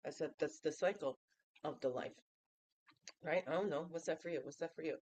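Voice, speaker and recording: A woman's voice: low voice, fairly quick, darkish tone, no room sound. 50s lady w a stuffy nose, playful chatty reflection vlog, dry close sound. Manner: playful, chatty, asks the listener questions. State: stuffy and nasal from a cold. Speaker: host of a daily reflection show. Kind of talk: casual reflective vlog talk.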